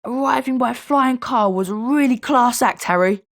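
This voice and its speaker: A woman's voice: a little high, moderate pace, natural voice. A woman voicing a chatty, friendly young British schoolboy wizard in scripted video game lines.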